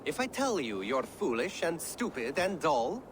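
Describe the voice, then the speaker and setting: High-pitched, animated Viking poet. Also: male voice, unhurried, noisy background. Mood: theatrical, rhyming. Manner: theatrical, lilting. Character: jovial, witty Viking poet, teasing mentor. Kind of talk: theatrical video game acting, declaiming rhymes.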